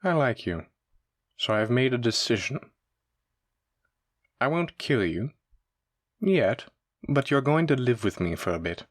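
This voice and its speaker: A man's voice: medium pitch, big pitch swings, measured pace, long pauses, no room sound, studio quality. A man playing a suave, menacing mafia boss in a roleplay audio drama, with a theatrical, teasing and condescending manner. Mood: playful, commanding. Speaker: voice actor. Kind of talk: scripted roleplay audio drama addressed to listener.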